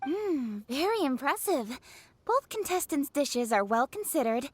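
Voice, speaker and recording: A woman's voice: high voice, big pitch swings, a little slow, slight background noise. A woman playing a stately, formal judge with a keen appetite in an anime game. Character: formal female judge with poorly hidden appetite.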